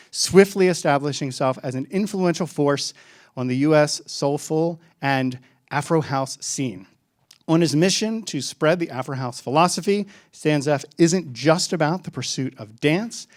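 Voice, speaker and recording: A man hosting an event, formally reading out an introduction for a guest speaker, with a few ums. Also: mid-range voice. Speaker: event host. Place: event hall with audience.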